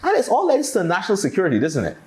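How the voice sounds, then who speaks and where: A man's voice: high voice, huge pitch swings, rapid-fire, a little mellow. A Barbadian man hosting a health podcast, chatty and playful with puns. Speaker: podcast host. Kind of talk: casual health podcast chat.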